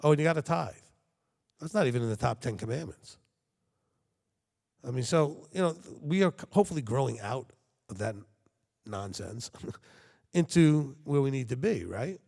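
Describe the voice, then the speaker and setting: A man's voice: mid-range voice, lively intonation, normal speed, vocal fry, pauses often, darkish tone, long reverb tail. A man preaching a sermon to his congregation, talking conversationally and checking in with frequent rhetorical questions. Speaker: congregation leader.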